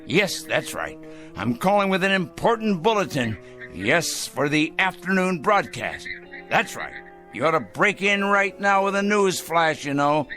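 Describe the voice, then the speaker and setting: A man's voice: high voice, sing-song, a little slow, sharp tone, faint music behind, exaggerated voice. An actor playing a paranoid, smug middle-aged man in a 1960s TV drama, talking theatrically to his pet bird. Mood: scheming. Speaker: fanatical letter-writing crank.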